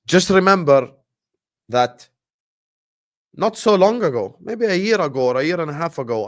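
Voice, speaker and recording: A man from Jordan with an Arabic accent, a native Arabic speaker hosting a livestream watchalong in casual, animated chat, giving shout-outs to his viewers. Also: medium pitch. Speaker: livestream host.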